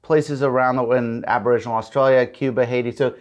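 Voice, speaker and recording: A middle-aged man with a voice of ordinary pitch talks casually as an interview guest. He tells stories with energy, restarts his sentences often and acts out the lines he quotes. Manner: animated storyteller, quick restarts and acted-out quotes. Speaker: guest, TV comedy writer.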